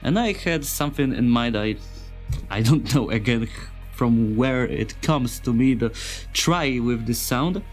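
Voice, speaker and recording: A man with a non-native English accent giving an informal tutorial as a sound designer, speaking in simple, halting phrases and searching for words. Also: medium pitch, lively intonation, soft background music. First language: not English. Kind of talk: informal software tutorial narration.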